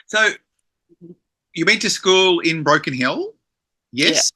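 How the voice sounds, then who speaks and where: A man's voice: fairly high pitch, expressive, takes it slowly, pushed and bright, husky, rough recording. A man hosting a podcast interview, upbeat and friendly as he asks questions. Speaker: podcast host.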